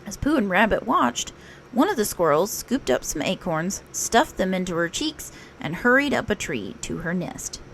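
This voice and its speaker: A woman narrating a children's story aloud in an expressive read-aloud style, like a teacher. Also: medium pitch, moderate pace, gravelly, a little hiss or hum, poor audio.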